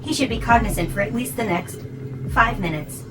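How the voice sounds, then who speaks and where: A woman's voice: fairly high pitch, brisk, background noise, close to an everyday voice. A woman playing a polite, coldly logical servant robot in an audio drama, speaking formally and precisely. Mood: politely menacing. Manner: formal, precise, matter-of-fact. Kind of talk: scripted audio drama acting. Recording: music behind the voice.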